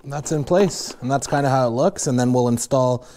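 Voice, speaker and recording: A young man casually walking viewers through installing a snowmobile accessory in a garage workshop, relaxed and plain-spoken with many fillers. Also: mid-range voice, normal speed, faint music behind. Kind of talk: casual step-by-step install walkthrough.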